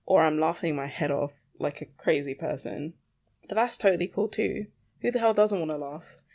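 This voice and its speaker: A woman's voice: sits low, normal speed, a little mellow. A woman rambling casually as a solo podcast host, with a self-deprecating, mildly sweary way of talking.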